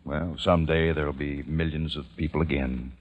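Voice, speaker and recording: A man's voice: very deep voice, steady tone, moderate pace, narrow, tinny audio. An actor playing a weary, grieving man who leads a few survivors, in a solemn, dramatic old-time radio style. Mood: gentle, earnest. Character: weary, grieving male survivor leading a small community. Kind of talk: old-time radio acting with first-person narration. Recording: old radio recording with music behind.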